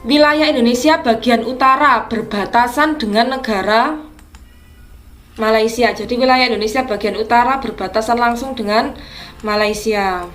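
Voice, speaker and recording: A woman teacher going through practice exam questions, explaining each answer slowly and deliberately. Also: a little high, a bit bright, soft background music.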